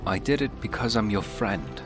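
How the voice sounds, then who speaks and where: An actor voicing a steady, friendly fantasy hero in a video game, in a plain, understated manner. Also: male voice, on the low side, moderate pace. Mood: concerned. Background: music.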